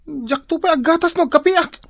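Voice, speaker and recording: A woman playing an excitable, grumbling husband who is about to be a father, in an Ilocano radio drama comedy. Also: high voice, moderate pace, gravelly, dry recording. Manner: exclamatory, grumbling.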